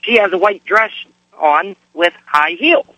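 A man from Pennsylvania calling a radio show, slightly hoarse from a cold, casually telling a story. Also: sits high, expressive, a little slow, sharp tone, dry recording, lo-fi sound. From Pennsylvania, United States.

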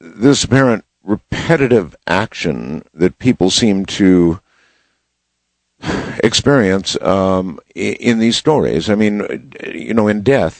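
A man hosting a late-night radio call-in show, talking in a measured, musing way. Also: deep voice, darkish tone, no room sound.

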